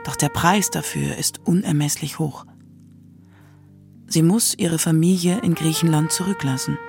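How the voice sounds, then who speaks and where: Higher-pitched gravelly male narrator. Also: unhurried, a little mellow, soft background music. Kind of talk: scripted radio documentary narration.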